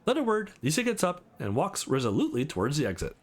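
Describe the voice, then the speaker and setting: A man's voice: a little high, huge pitch swings, quick pace. A man who is not a native English speaker, reading a visual novel aloud as a let's play host.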